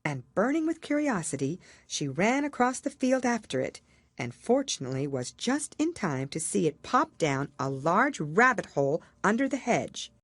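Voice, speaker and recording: Female narrator for children's audiobook: low register, animated intonation, expressive storytelling. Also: normal speed, husky, no room sound.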